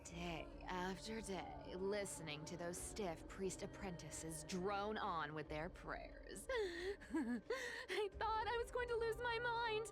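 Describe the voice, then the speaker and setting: A woman voicing a sly, playful female thief who is greedy for treasure, musing aloud theatrically in a video game. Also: medium pitch. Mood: smug, mocking.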